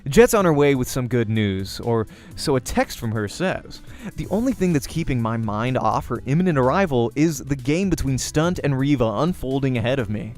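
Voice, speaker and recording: A man's voice: mid-range voice, lively intonation, moderate pace, a little mellow, soft background music. A man reading a visual novel aloud as a let's-player, narrating the game story expressively.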